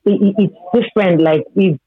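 A woman gospel singer interviewed over the phone, speaking haltingly with hesitant restarts. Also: lowish voice, steady tone. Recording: tinny phone line, faint music.

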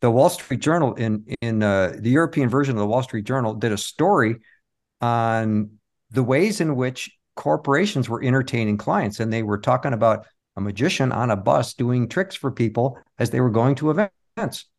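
A man's voice: mid-range voice, animated, moderate pace. A man speaking as an interview guest, telling anecdotes and explaining his work in a casual, conversational way. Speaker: interview guest, performing magician.

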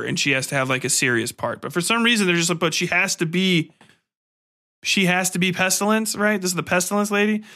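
Bright, higher-pitched man ranting casually. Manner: profane, exasperated, blunt. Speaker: podcast host. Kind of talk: casual ranting film review.

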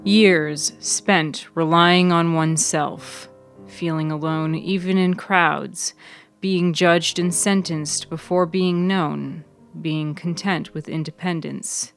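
A woman reading scripted literary narration in a measured, deliberate manner, as narrator of a serial fiction podcast. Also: lowish voice, steady tone, soft background music.

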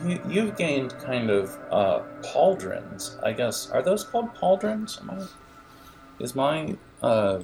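A man improvising narration as game master in a halting, digressive manner, with music behind the voice. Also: medium pitch, big pitch swings, a little mellow.

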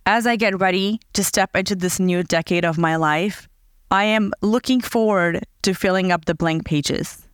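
A woman in her thirties from Fiji hosting her own podcast, speaking in an earnest, heartfelt way and slowing down to dwell on her words. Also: mid-range voice, smooth, no echo. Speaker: podcast host. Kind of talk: reflective personal monologue.

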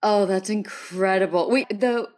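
An American woman chats as a podcast host with an enthusiastic, appreciative manner. Her pitch is average for a woman, and her style is casual and conversational. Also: unhurried, a little mellow. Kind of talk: casual interview chat.

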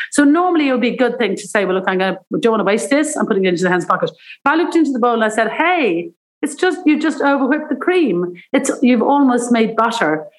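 A woman's voice: a little high, poor audio. Chatty Irish woman in her seventies. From Ireland. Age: 70s. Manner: chatty, rambling, acts out quoted voices. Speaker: cooking school founder and author. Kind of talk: interview, anecdotal storytelling.

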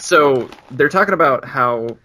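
Male podcast co-host, casual conversational delivery, sharp bright tone. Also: medium pitch, animated, unhurried. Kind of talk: casual discussion.

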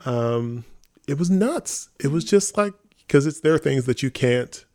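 A man's voice: medium pitch, expressive, a little slow, warm tone, dry recording, clean studio sound. A man chatting casually and anecdotally on a podcast about his working life. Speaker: theatre company podcast speaker, performer.

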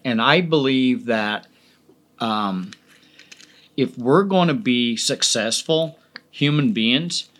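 A middle-aged man hosting a podcast alone, his voice sitting at an ordinary pitch. He rambles, swears freely and keeps restarting his sentences before landing on an emphatic point. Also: fairly bright tone. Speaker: podcast host. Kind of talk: casual solo podcast monologue.

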